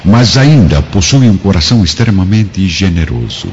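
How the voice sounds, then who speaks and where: A man with a Brazilian accent narrating a radio drama in a solemn, literary, measured style. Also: sits low, lively intonation, a little mellow, a little hiss or hum. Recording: dull lo-fi recording over music. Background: music.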